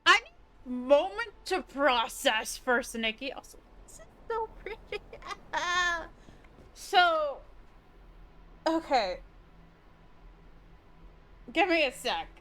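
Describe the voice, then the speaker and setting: A woman's voice: high-pitched, very animated, takes it slowly, long pauses, a bit bright. A woman livestreamer giving casual live game commentary, gushing and giggly as she thinks aloud.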